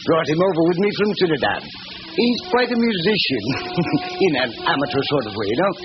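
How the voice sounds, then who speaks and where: Affable, casual householder, swooping slightly high voice, 1940s radio drama, noisy dull recording. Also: male voice, very animated, fairly quick. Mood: affable, offhand. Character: affable, offhand well-off male householder.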